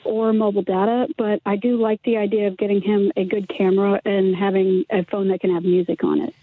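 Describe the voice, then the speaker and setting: A woman's voice: medium pitch, flat delivery, a little fast, no echo. A woman calling in to a radio show, talking casually over the telephone. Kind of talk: casual phone conversation. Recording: narrow tinny phone line.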